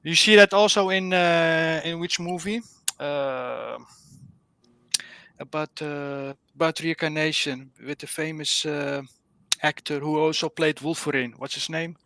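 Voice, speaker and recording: Dutch-accented male caller, fairly high and bright, dry close sound, earnest and groping for words. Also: steady tone, a little slow, clean tone, no echo. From Netherlands. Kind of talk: casual explanation on a live stream.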